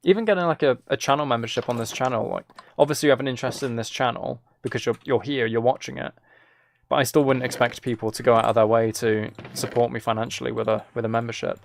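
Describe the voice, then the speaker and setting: A man's voice: mid-range voice, fairly even intonation, fast, creaky, a little hiss or hum. A man chatting casually as he streams a game, rambling along in a self-deprecating way. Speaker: live streamer. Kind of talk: casual gaming livestream chat, commentary.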